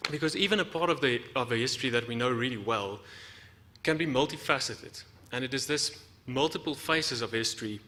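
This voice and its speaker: A man from South Africa giving a prepared academic lecture, explaining in a measured way with deliberate emphasis. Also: medium pitch, sharp tone. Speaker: academic researcher giving a talk. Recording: reverberant hall.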